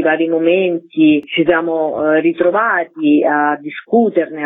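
Halting man on narrow-band radio line. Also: on the high side, no echo. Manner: hesitant, halting, explanatory. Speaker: interview guest. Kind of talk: spontaneous radio interview talk.

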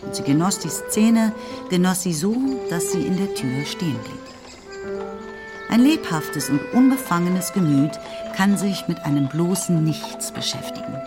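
Low-voiced woman narrator over music. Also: huge pitch swings, a little slow. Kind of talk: scripted literary narration.

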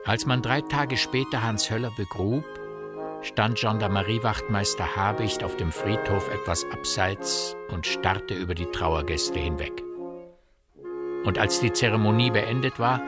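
A man with an Austrian accent narrating a radio drama in measured, literary, faintly ironic storytelling. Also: fairly low pitch. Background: prominent brass music.

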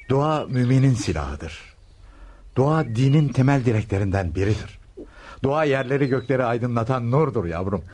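An actor playing a gentle, pious spiritual teacher in a radio play, speaking in solemn, fatherly, measured phrases. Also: on the low side, slightly rough. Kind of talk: radio theatre acting. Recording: noisy radio play recording.

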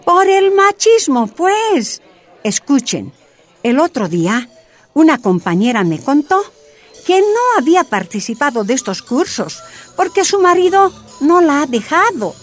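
A Bolivian woman who leads miners' wives, frank and resolute. Her voice sits high and moves freely, yet she takes her time over words. It is a radio drama with soft music underneath. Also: sing-song, measured pace. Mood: firm, earnest.

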